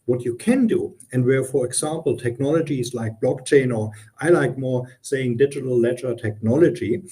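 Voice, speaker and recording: Middle-aged German-accented guest in a business interview, warm and relaxed tone, earnest, many ums. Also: male voice, mid-range voice, normal speed. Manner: earnest, explanatory, frequent ums and restarts. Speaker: guest consultant.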